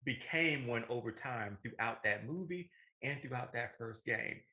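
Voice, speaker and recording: A man with a bright, forward voice at a middle pitch co-hosts a casual discussion show. He talks emphatically and loosely, often doubling back to rephrase what he just said. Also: a little slow. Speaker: podcast co-host. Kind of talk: casual animated movie discussion.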